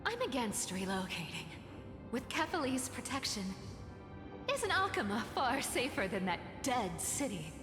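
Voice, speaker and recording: A woman voicing a sassy, sceptical young female demigod in an anime game, sardonic and sharp-tongued. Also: on the high side, big pitch swings, measured pace. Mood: incredulous, biting. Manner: teasing, sardonic. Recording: echoing game audio under music.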